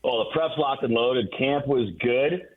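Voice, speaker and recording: A man's voice: mid-range voice, a little slow. A man giving sports analysis as a guest announcer on a radio show, heard over a phone-like line.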